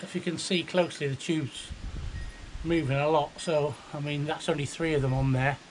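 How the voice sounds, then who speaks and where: A man's voice: fairly high pitch, fairly even intonation, normal speed. Noisy handheld recording, middle-aged British man. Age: 50s. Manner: chatty, unpolished, rambling. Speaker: hobbyist video maker. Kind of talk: casual handheld show-and-tell. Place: outdoors beside a house, breezy. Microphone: handheld camera or phone.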